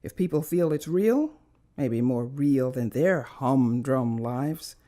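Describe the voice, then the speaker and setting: Prickly writer in her sixties, expressive. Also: very deep voice, slow, exaggerated voice. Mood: curt, dismissive. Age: 60s. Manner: curt, dismissive, dry. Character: prickly, weary 66-year-old female cult writer. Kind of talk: audio drama acting.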